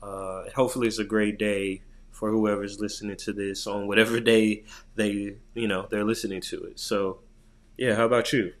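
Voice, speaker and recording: A man's voice: lowish voice, flat delivery, normal speed. A man from the United States co-hosting a podcast in a laid-back, rambling, casual way. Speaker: podcast co-host. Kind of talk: casual chat.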